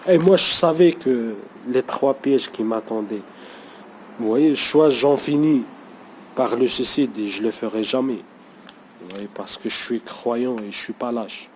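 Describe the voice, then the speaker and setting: A man who is not a native speaker talks unscripted to camera in an unhurried, mellow voice. He halts often, restarts phrases and keeps asking whether you follow. Faint ambient noise sits behind him. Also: mid-range voice. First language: not French. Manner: hesitant, full of fillers and restarts, keeps saying "you see". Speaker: vlogger.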